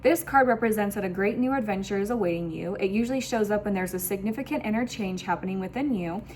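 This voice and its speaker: Woman, mid-pitched voice, tarot reader, effusive, emphatic, spiritual online reading, faint ambient noise. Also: fast talker, a little mellow. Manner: effusive, emphatic, repeats phrases. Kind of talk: casual, spiritual tarot reading addressed to viewers.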